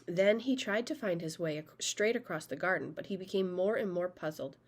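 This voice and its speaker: A woman with a slightly low voice reads a children's picture book aloud. She is an expressive storyteller who plays up sound words and speaks to her young listeners. Her delivery is that of a read-aloud story time. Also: a little fast.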